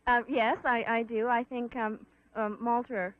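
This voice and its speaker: A woman's voice: fairly high pitch, fairly even intonation, normal speed, no room sound. Young woman, faint English accent, tinny recording. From England and Canada. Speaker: pageant queen and ski instructor. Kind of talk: casual interview answers. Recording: tinny 1960s film audio.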